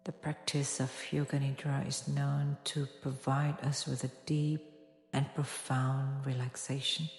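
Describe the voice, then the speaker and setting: A woman with a low voice guides a yoga nidra meditation. She speaks softly and without hurry, leaving long pauses between instructions. Her voice sits in a long, echoing reverb over gentle music with singing bowl tones. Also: flat delivery, gravelly. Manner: soft, soothing, unhurried instruction with long pauses.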